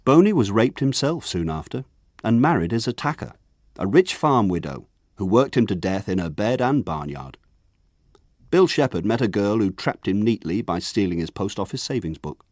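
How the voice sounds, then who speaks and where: An actor playing a grown man who narrates his rough village boyhood in a measured, wry radio drama style. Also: fairly low pitch, sing-song, a little mellow, dry recording, clean studio sound. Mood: wry, reflective. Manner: measured, wry, lyrical phrasing. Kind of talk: scripted radio drama narration, literary storytelling.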